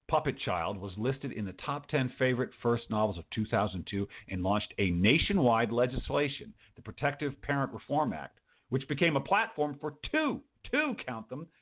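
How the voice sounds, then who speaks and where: A man hosting a talk show in a casual, chatty way, rambling along and answering his own remarks. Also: mid-range voice. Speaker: host of a writing talk show. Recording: muffled phone-like audio.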